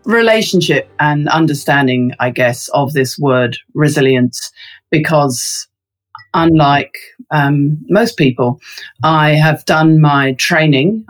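Chatty woman with lots of ums. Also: low-pitched. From London, England. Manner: thoughtful, many fillers. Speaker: interview guest, resilience researcher. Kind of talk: casual interview talk.

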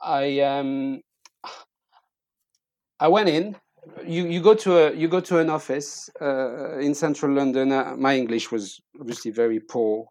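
A man's voice: mid-range voice, unhurried, a little mellow, no echo. French-accented middle-aged man, dry close sound. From eastern France. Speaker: interview guest. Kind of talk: personal storytelling in casual conversation.

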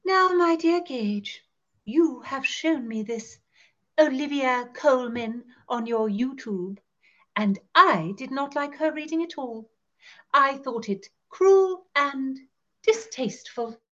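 Woman playing a haughty, high-voiced Tudor court lady. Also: expressive, slow pace, fairly bright tone, highs cut off, heavily acted. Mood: disapproving. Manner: archaic courtly speech, theatrical and arch. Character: haughty, playful Tudor gentlewoman of the royal court. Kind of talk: in-character podcast monologue.

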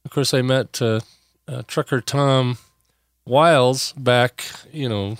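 Hoarse man rambling on a podcast. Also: medium pitch, fairly even intonation, slow pace, no echo, clean studio sound. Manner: rambling, many "uh" and restarts. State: hoarse, recovering from lost voice. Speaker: podcast host. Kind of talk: casual solo podcast chat.

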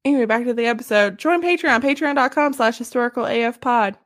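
A woman with a Southern US accent co-hosting a casual podcast, animated and quick to laugh. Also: a little high. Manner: giggly, animated, laughs often. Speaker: podcast co-host. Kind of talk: casual podcast chat, livestreamed.

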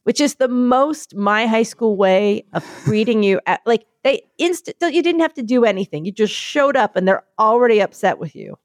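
A woman chatting casually, telling a story and acting out quotes in a higher voice. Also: moderate pace, few pauses, dry recording, clean studio sound. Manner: animated, acts out quotes in higher voice.

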